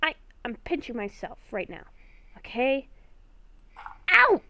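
Nervous young werewolf woman, acted by a female gamer, Minecraft roleplay; halting, repetitive speech, high register, very animated pitch. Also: heavily acted. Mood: exasperated, alarmed. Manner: halting, stammering, repeats herself. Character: nervous young woman werewolf, hearing ghostly voices at night.

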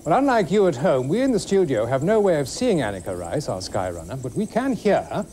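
A man hosting a game show from a studio, speaking in a measured, formal way and reading clues out slowly and clearly. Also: on the high side, sing-song, mellow. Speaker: studio host. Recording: noisy old TV studio recording.

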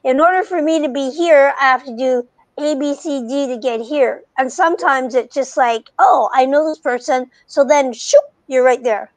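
A middle-aged woman hosting a podcast, chatting in an animated way with frequent restarts, on a video call with wired earbuds. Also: high-pitched, normal speed, hardly any pauses, no echo. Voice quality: soft voice. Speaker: podcast host. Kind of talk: casual conversation. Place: home room, video call. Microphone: wired earbuds headset.

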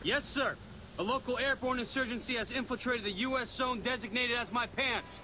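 A cocky young American sergeant in a military video game. He pitches high for a man and jokes in mock-formal soldier talk, with clear ambient noise around him. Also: normal speed. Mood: mock-formal, raised. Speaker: army sergeant.

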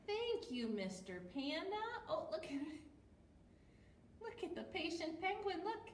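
High, lilting American woman, slow-paced. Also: echoey room, recorded from a distance, slight background noise. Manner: sing-song, encouraging, animated. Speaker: children's storytime host. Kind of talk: storytime for toddlers with songs. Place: small plain room indoors.

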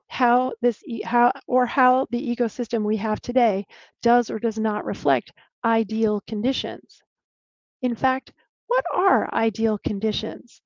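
A woman archaeologist giving a slide talk over a video call, explaining clearly and sometimes restarting a word. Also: a little high, darkish tone, no echo. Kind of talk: presenting a lecture with slides. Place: home room on a video call. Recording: dull, lo-fi dry video call audio.

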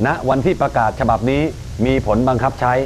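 A man's voice: medium pitch, close to an everyday voice. Man acting as a solemn junta announcer, noisy broadcast. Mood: solemn, official. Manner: measured, formal, official. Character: solemn male junta announcer reading decrees. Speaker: official announcer. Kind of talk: formal government proclamation read-out. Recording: clear background noise.

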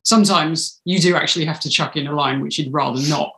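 A woman's voice: deep voice, fast, a bit bright, reverberant. A woman in her thirties chatting in an interview, thinking aloud with some hesitation, on a video call from a room at home. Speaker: audio drama writer, interviewee. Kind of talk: casual interview conversation. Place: small home room, indoors. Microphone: video call, mic at a distance.

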